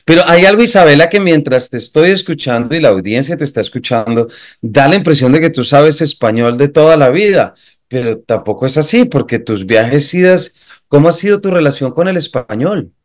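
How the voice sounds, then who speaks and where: A man's voice: fairly high pitch, expressive, a little fast. Man asking long winding questions. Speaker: interviewer, host. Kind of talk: conversational interview.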